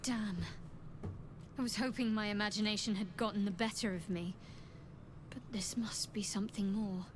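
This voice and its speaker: An actress playing a guarded, devout cult cleric in a video game, cool, wry and resolute in manner. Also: female voice, mid-range voice, steady tone, normal speed, long pauses, lightly acted. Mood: uneasy. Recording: game audio with clearly noisy background.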